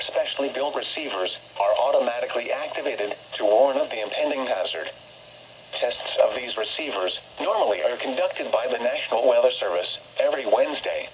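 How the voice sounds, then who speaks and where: A man's mid-pitched voice comes through a dull weather radio speaker with faint noise behind it. He reads a scripted public notice in a formal, measured manner. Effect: weather radio broadcast. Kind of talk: scripted public service announcement.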